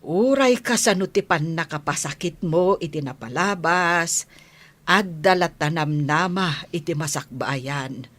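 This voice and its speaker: A woman's voice: fairly low pitch, measured pace, fairly bright tone. A woman playing a caring, protective confidante in an Ilocano radio drama. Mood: tender.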